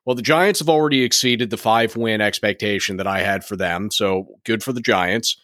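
A younger man talks alone on his podcast, his voice a bit hoarse and raspy and sitting on the low side. He rambles, restarting sentences and filling gaps with ums. The sound is dry and close, with no room around it. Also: moderate pace, a bit bright, dry recording. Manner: rambling, frequent restarts and fillers. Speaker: podcast host. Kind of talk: solo podcast chat.

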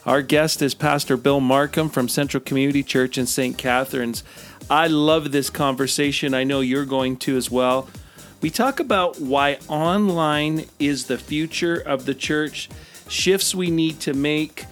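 A man hosting a church podcast, upbeat in his intro and halting with fillers when he asks questions. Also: on the high side, faint music behind. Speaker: podcast host. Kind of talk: scripted intro then casual interview.

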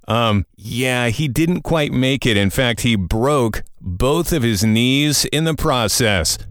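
A man's voice: medium pitch, expressive, slow, no room sound, clean studio sound. A man hosting a morning-show style program with casual, wisecracking, conversational patter. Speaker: radio show host. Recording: music bed behind the voice. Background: background music.

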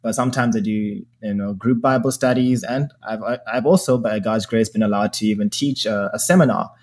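A man's voice: fairly low pitch, brisk, a little mellow. A man from Zimbabwe hosting a podcast, sharing his faith in casual, earnest talk. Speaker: podcast host.

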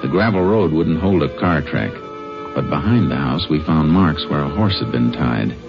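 An actor playing a calm, sharp-eyed Texas Ranger investigator, clipped and probing, in 1950s western radio drama style. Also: male voice, a bass voice, normal speed, creaky, music in the background, exaggerated voice. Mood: methodical, grave. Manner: clipped, probing, matter-of-fact. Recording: dull, noisy vintage radio recording.

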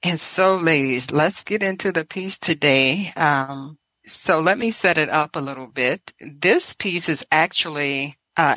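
A woman's voice: deep voice, pushed and bright, no echo, low-quality recording. A woman hosting a podcast in a conversational style. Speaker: podcast host.

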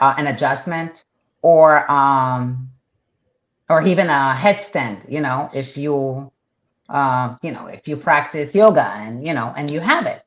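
A middle-aged woman from Israel with Hebrew as her first language talks in a very low voice. She chats loosely, full of "um" and restarts. The sound is dull and lo-fi. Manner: chatty, many fillers and restarts. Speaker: realtor guest. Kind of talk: casual interview talk.

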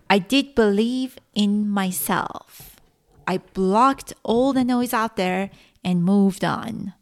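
Slow, earnest middle-aged woman host. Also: a little high. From Iran. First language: Farsi. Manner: earnest, reflective storytelling with frequent "uh" pauses. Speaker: podcast host. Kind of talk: solo podcast monologue.

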